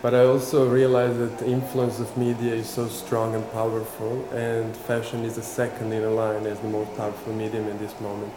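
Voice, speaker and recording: Flat, earnest man from Serbia. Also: lowish voice, fairly quick, few pauses. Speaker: fashion designer. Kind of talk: reflective interview monologue. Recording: roomy, with music behind.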